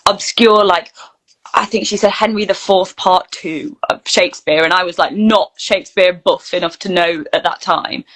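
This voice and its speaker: A woman's voice: on the low side, moderate pace, fairly bright tone, low-quality recording. A woman chats casually as an interview guest, telling anecdotes and often saying "like". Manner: chatty, anecdotal, peppered with "like". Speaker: guest, actor and voice reel producer.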